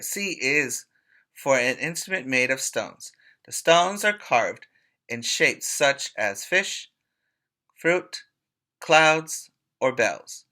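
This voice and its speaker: A man teaching preschoolers by reading aloud in a deliberate, emphatic way, pausing to put questions to his young listeners. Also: mid-range voice, pushed and bright. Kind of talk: read-aloud lesson for young children.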